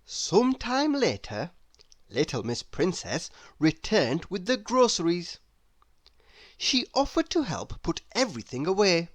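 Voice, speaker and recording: A woman reading a children's story aloud in an expressive, deliberate, theatrical way. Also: lowish voice, dry recording. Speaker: storybook reader.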